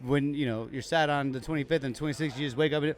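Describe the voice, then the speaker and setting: A man's voice: mid-range voice, fairly even intonation. A man co-hosting a podcast recorded live at a bar, talking in a casual, rambling way with many restarts. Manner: rambling, halting restarts, upbeat. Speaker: podcast co-host. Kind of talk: casual chat.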